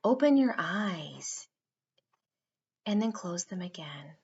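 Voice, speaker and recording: Slow-paced woman guiding a kids' meditation. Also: on the low side, lively intonation, darkish tone, slightly rough, dull audio. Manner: soothing, unhurried, with drawn-out phrasing. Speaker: guided meditation host for children. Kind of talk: slow guided meditation narration for kids.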